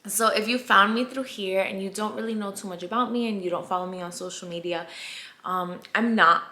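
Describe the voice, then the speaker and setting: A woman's voice: medium pitch, brisk, bright, forceful tone, roomy sound. A Colombian-born woman in her twenties, telling a candid storytime to camera, chatty, repeating words and dropping into whispered asides. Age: 20s. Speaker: vlogger. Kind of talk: casual storytime chat to camera.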